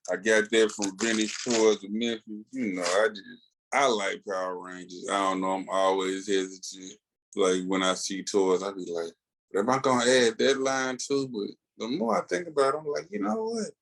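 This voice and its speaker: A man's voice: on the low side, moderate pace, vocal fry, a bit bright, poor audio. A man co-hosting a podcast in casual chat, with a laid-back, slangy, colloquial way of talking. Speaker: podcast co-host.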